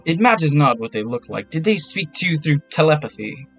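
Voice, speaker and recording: A man voicing an old male priest who speaks slowly and formally. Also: on the high side, lively intonation, vocal fry, a bit bright, faint music behind, pitched above the performer's own voice. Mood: courteous. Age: older. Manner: slow, formal, courteous.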